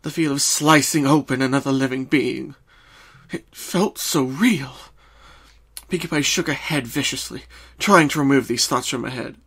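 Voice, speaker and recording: A man's voice: medium pitch, a bit bright, husky. Man narrating a story dramatically. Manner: solemn, deliberate storytelling with dramatic emphasis.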